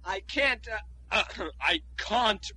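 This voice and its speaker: A man's voice: high-pitched, animated, takes it slowly, sharp tone, husky, exaggerated voice. A man voicing a clumsy, dim-witted male sidekick who fumbles and stammers eagerly. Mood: bumbling. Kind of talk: comedy sketch acting.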